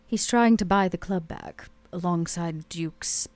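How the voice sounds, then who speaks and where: A woman playing a haughty, world-weary vampire club owner in a tabletop game, speaking in a measured, dry way. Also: fairly low pitch, darkish tone. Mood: weary, bitter.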